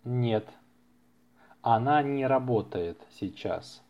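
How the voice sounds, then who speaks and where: Slow, deliberate man teaching a language. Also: mid-range voice, animated. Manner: deliberate, carefully enunciated, repeats phrases for learners. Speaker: language teacher and podcast host. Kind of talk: slow language-lesson podcast reading practice dialogues. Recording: slightly muffled lo-fi podcast recording.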